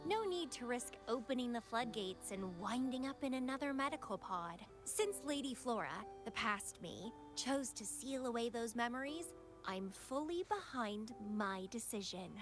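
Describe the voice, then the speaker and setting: A woman's voice: fairly high pitch, animated, normal speed, over music, natural voice. An actress voicing a playful, dangerously devoted young woman rival in a video game, with a teasing, languid, dramatic delivery. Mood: breezy, confident. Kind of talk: scripted video game voice acting.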